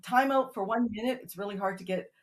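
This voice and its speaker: A woman's voice: medium pitch, fast talker. A woman guest on a podcast, a mother talking cheerfully and laughing often about cheering her kids on. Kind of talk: casual interview chat.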